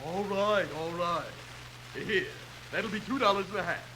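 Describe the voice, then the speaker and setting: A very high-voiced, sarcastic male shop clerk in an old radio comedy. He is cranky and needling, every line a jab, on a clearly noisy vintage recording. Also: moderate pace, pushed and bright. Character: cranky, wisecracking male music store clerk who needles customers. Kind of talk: 1950s radio comedy acting.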